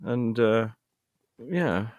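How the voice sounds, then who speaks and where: A man's voice: mid-range voice, expressive, drawn-out words, a little mellow, no echo. A middle-aged British man chatting casually as an interview guest, self-deprecating and thinking aloud as he rambles. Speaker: guest, actor and writer.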